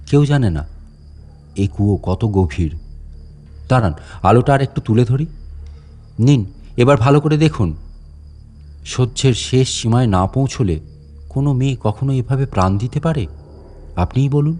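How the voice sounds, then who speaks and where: A man's voice: medium pitch, lively intonation, normal speed, long pauses, dark tone, natural voice. A man playing a menacing old caretaker in a horror audiobook, a grieving, vengeful father who speaks with polite deference and sly questions. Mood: grim, brooding. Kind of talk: dramatic audiobook horror monologue.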